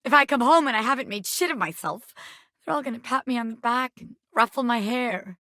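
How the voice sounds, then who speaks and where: A woman voicing a cocky, bossy small-time gangster boss, a living pin in a video game, who talks tough but has a soft spot. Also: a little high, fairly quick, dry recording, studio quality. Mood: vulnerable, hesitant. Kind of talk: video game character voice acting.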